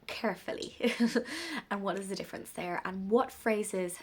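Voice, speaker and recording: A woman in her thirties, a bit bunged up with a cold, chatting casually and rambling gently about parenting in an unplanned vlog. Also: mid-range voice, animated, moderate pace, breathy edge. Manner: rambling, gentle, warm-hearted musing. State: congested from a cold. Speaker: parenting vlogger. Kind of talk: casual unscripted talk to camera.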